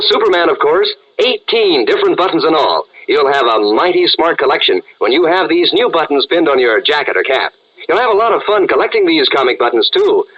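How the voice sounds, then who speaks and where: A man's voice: a little high, slightly rough. A man giving a folksy 1940s radio advertisement aimed at children. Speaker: advertising pitchman. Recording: muffled vintage radio recording.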